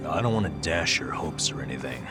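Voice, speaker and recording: A middle-aged underground caregiver in a game cutscene, skeptical and sardonic. His voice is extremely deep, set over very loud music. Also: expressive, a little fast. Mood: skeptical, frank. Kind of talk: video game cutscene voice acting.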